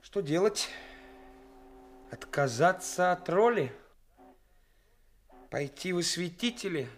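Highly animated young theatrical schemer. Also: male voice. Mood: anxious brooding. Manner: theatrical, declamatory, self-important. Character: young male actor posing as a brazen, cynical bridegroom. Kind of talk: radio play acting. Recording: radio play recording with music.

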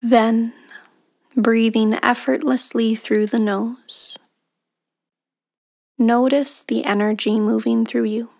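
A woman leading a guided meditation in a hushed, breathy, soothing delivery with long pauses between phrases. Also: a little high, flat delivery. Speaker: meditation guide, podcast host.

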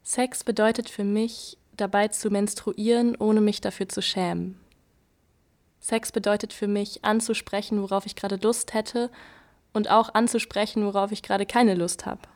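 A woman performing a scripted spoken-word monologue, measured and listing one phrase after another. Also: mid-range voice, flat delivery, darkish tone. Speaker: performer in an educational audio play.

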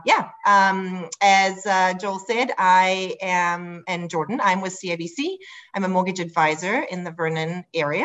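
A woman's voice: lowish voice, a bit bright. A middle-aged woman with a South African accent introducing herself as an interview guest in a gracious, upbeat, conversational way. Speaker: guest, mortgage advisor.

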